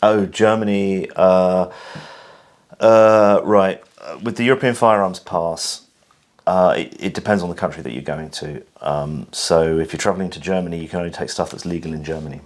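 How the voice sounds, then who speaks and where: Deep-voiced British man, dry and matter-of-fact. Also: moderate pace. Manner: dry, matter-of-fact, with many ums and restarts. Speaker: host. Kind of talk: casual conversational Q&A.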